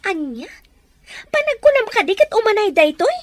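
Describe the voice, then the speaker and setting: A woman's voice: extremely high pitch, big pitch swings, normal speed, no room sound. A woman playing an emotional, anxious wife in an audio drama, speaking in a nagging, confrontational way. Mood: upset, demanding. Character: jealous, anxious wife pleading over household money. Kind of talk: amateur audio drama dialogue.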